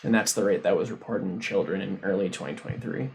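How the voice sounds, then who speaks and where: A man hosting a science livestream, casually explaining a research paper as he reads, in a halting, informal way. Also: low voice, creaky. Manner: halting, informal, thinking aloud. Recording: noticeable background noise on livestream.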